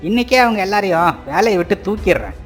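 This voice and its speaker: A man's voice: sits high, sing-song, fast, soft background music. A man acting a blustering, greedy landlord. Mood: oily, flattering. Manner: blustering, self-righteous. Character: greedy, deceitful village landlord, falsely honest and bullying. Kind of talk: Tamil cartoon moral story acting.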